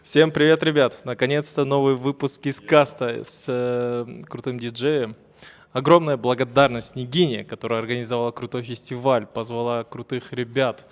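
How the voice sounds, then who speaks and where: A man's voice: mid-range voice, steady tone, moderate pace. A man hosting a podcast, introducing his guest in an upbeat way with small hesitations. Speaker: podcast host. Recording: long hall reverb.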